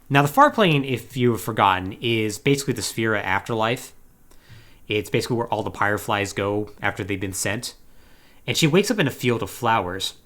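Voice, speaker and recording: Male podcast host, mid-pitched and very lively in intonation, casual slangy comedic storytelling. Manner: animated, slangy storytelling with mocking acted-out quotes. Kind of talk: casual comedic plot recap of a video game.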